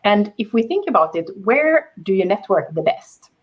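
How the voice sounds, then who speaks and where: Woman panelist, soft voice, reflective, hesitant phrasing, video call mic. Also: mid-range voice, big pitch swings, moderate pace, dull audio. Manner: thoughtful, many fillers and restarts. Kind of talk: panel discussion talk.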